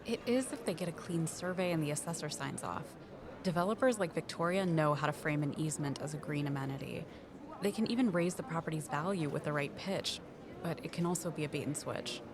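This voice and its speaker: A woman's voice: low voice, brisk, crowd noise, natural voice. A woman acting in an audio drama as a shrewd, suspicious colleague who explains things in a dry, matter-of-fact way. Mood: knowing. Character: shrewd, knowing female colleague, quietly suspicious investigator.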